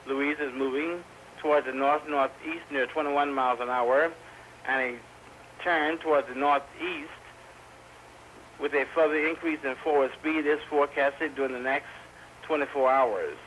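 A man's voice: fairly high pitch, steady tone, moderate pace, fairly bright tone, rough recording. A man reading scripted weather bulletins as a radio announcer, recorded from 1990s weather radio onto VHS. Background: faint radio noise.

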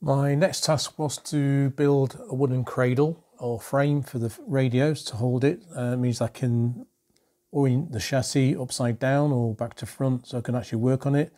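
A middle-aged man in a home workshop talks calmly and methodically through a radio repair, with many ums and uhs. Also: mid-range voice, moderate pace. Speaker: electronics restorer.

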